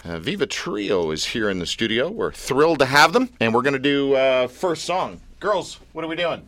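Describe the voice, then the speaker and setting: A man's voice: medium pitch, sing-song, moderate pace, a bit bright. A man hosting a radio show in a studio, teasing and joking with his guests. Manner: playful, teasing, laughs often. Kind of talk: joking casual interview.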